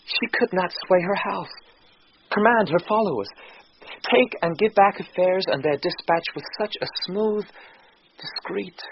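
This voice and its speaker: A noisy, dull radio recording of a bewildered young gentleman. He speaks verse with wonder, in a lively, expressive voice, as if thinking aloud. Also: high-pitched, exaggerated voice. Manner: wondering, articulate soliloquy. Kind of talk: classical radio drama acting, Shakespearean verse.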